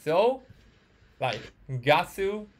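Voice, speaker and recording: A male Korean teacher with a Korean accent leads an online lesson. His voice sits a little high, and he speaks non-native English haltingly, often repeating words as he explains. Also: big pitch swings, slight background noise. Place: online group audio call.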